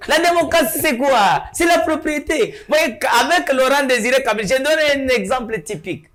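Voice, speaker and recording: A man's voice: extremely high pitch, lively intonation, fairly quick, a bit bright. A man from Kasai, a studio guest making emphatic rhetorical points in a political talk show. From Kasai, DR Congo. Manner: emphatic, rhetorical, repeats points and asks "hein?".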